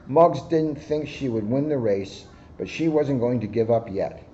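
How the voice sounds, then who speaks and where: A man, a police officer, reading a children's storybook aloud with lively asides. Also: mid-range voice, a little slow, lo-fi sound, a little hiss or hum.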